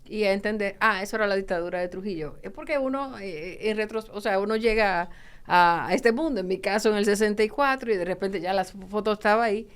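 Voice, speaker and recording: A woman, hosting a podcast interview and often pausing on filler sounds. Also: mid-range voice, clean tone. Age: 60s. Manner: hesitant, many filler sounds. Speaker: podcast host. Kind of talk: interviewing guests.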